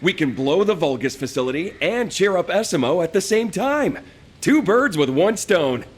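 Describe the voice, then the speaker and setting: A man's voice: sits high, very animated, moderate pace, husky, exaggerated voice. An actor playing a scheming, wisecracking young male fighter in a video game cutscene. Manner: joking, scheming.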